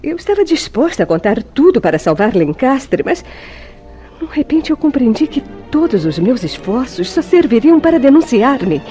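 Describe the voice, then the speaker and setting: A woman's voice: sits high, big pitch swings, moderate pace, dark tone, soft background music. An actress playing a distraught, devoted woman in a Brazilian radio soap, with formal diction and pleading drama. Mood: desperate. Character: distraught, devoted woman shielding her guilty lover. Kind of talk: melodramatic radio soap acting.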